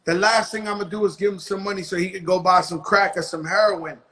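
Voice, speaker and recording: A man's voice: high voice, fairly even intonation, fast talker, bright, forceful tone. A man from the South Bronx, hosting a casual interview in blunt street slang. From South Bronx, New York. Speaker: host.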